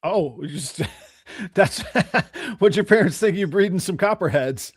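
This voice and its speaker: A man's voice: high-pitched, animated, a little slow, slightly rough, no room sound, studio quality. A man with an American accent, hosting a podcast in casual, conversational interview style. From United States (Florida). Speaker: podcast host.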